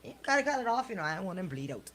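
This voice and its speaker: A woman's voice: medium pitch, sing-song, very fast, a bit bright. A woman radio host bantering and commenting on the news in a blunt, exclamatory, colloquial way.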